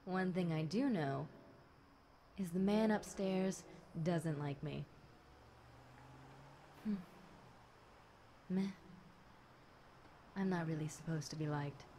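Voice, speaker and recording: A woman's voice: lowish voice, slow pace, pauses often, warm tone. A woman voicing a bored, chatty demon girl in an audio roleplay, casual and teasing, talking straight to the listener. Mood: laid-back. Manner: casual, teasing, conversational with light chuckles. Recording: clear background noise.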